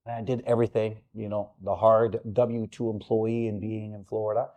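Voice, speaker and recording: A man from Honduras in his forties, a business-owner guest who talks in a halting way with many fillers. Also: fairly low pitch, flat delivery, phone-quality sound. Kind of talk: casual interview chat.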